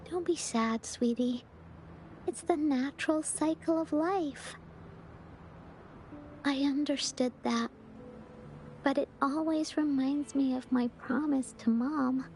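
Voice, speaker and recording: A woman voicing a sweet, wistful little girl in a video game, speaking gently and earnestly. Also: sits high, a little hiss or hum.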